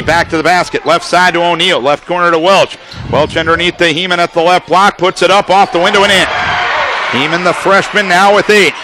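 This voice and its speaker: A man calling live basketball play-by-play for radio, firing off rapid calls that rise to shouts on big plays, in a gym full of people. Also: high voice. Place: high school gym with a crowd. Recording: loud crowd and gym noise. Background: crowd and gym noise.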